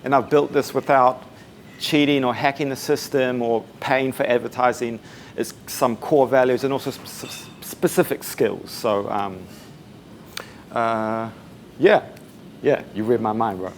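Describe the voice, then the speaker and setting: A man in his twenties with a New Zealand accent, giving a casual, soft-spoken talk to a meetup audience, with many fillers. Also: medium pitch, fairly even intonation, a little slow, a little hiss or hum. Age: 20s. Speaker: speaker at a meetup. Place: coworking space event room.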